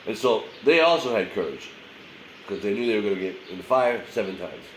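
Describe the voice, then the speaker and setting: A man's voice: lowish voice, lively intonation, brisk, a bit bright. A male pastor with a head cold delivers a short daily sermon in a loose, conversational way, his voice a little nasal and full of hesitations. The recording has a noticeable room echo and a faint hum underneath. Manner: casual, conversational preaching with many fillers.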